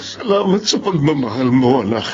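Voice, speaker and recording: An actor playing a wronged but forgiving, devoted middle-aged father in a Filipino radio drama, tender and heartfelt, with dramatic pauses and a Filipino accent. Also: medium pitch, big pitch swings, lo-fi sound, close to an everyday voice. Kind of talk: Filipino radio drama acting and first-person narration. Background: dramatic music.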